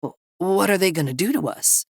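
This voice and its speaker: A woman's voice: fairly low pitch, drawn-out words, fairly bright tone, no room sound, clean studio sound, voice pushed up, full character voice. A woman voicing a frightened younger man in an audiobook, stammering and pleading in a whining way. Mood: scared.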